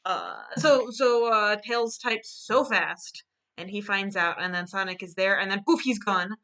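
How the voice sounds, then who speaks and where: A woman's voice: medium pitch, unhurried, fairly bright tone. A woman casually presenting her own drawn storyboard in a joking, mock-earnest way, as the illustrator.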